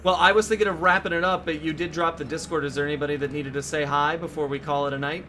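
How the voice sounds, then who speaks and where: A man's voice: on the high side, steady tone, fast talker, sharp tone, slight background noise. A man hosting a game stream, chatting in a laid-back, jokey way. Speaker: streamer host. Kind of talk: casual chat.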